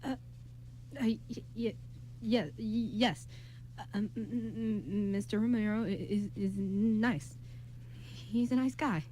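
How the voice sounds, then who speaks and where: A timid young boy, played by a woman in an amateur radio drama stammers and hesitates over every phrase. The voice is mellow and nervous, with a faint noisy hum in the recording. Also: mid-range voice, takes it slowly, close to an everyday voice.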